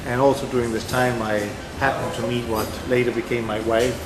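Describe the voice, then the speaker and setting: A man's voice: mid-range voice, flat delivery, a little fast, poor audio. A middle-aged man from Denmark answers interview questions in a casual, rambling way, with many fillers and restarts. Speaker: interview guest.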